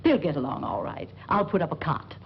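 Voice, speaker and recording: A woman's voice: sits low, big pitch swings, brisk. An actress playing a scatterbrained, kindly, chattering landlady in a 1940s film. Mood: flustered, kindly. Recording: muffled old film soundtrack with hiss.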